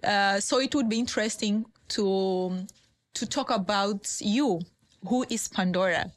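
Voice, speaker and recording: A woman's voice: mid-range voice, measured pace. Host: woman, speaking English, hesitant, many fillers. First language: Portuguese. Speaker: podcast host. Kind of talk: podcast interview. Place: small podcast studio. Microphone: desk mic on stand.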